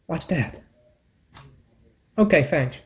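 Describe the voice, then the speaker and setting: A man's voice: a little high, expressive, takes it slowly. A man playing a local radio newscaster reading an emergency bulletin formally, with small stumbles. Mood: earnest, formal.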